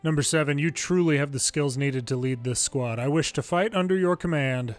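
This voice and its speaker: A man reading a game script aloud in an expressive, deliberate and dramatic way. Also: fairly high pitch, soft background music. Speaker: longplay video maker reading game dialogue.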